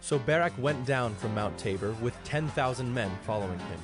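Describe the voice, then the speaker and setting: Male scripture narrator, mid-pitched, scripted and solemn, musical score clearly behind. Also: animated, over music. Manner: solemn, measured storytelling.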